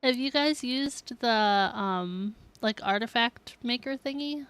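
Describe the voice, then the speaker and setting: A woman's voice: a little high, slow pace, fairly bright tone, smooth, no echo. A woman chatting casually on a game livestream, informal and reacting as she plays. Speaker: game streamer.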